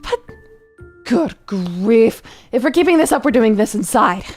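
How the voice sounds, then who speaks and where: A woman's voice: on the high side, lively intonation, a little slow, darkish tone, faint music behind. A woman playing a stern, traditional gravekeeper father in a drama, speaking in a strict, scolding manner. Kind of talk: dramatic character acting.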